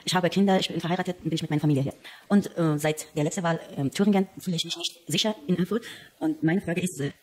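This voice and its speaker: A young woman asks her question haltingly, full of fillers and searching for words. Her voice is husky and sits a little low, and she talks quickly between her pauses. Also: a little mellow. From Afghanistan. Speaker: audience member. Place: TV studio audience, indoors.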